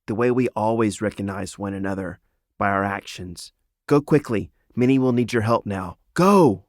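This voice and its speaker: A man's voice: lowish voice, normal speed, dry recording, close to an everyday voice. A commanding, compassionate animal healer lady, read by a man. The voice is firm and only slightly raised, close and dry, in storybook style.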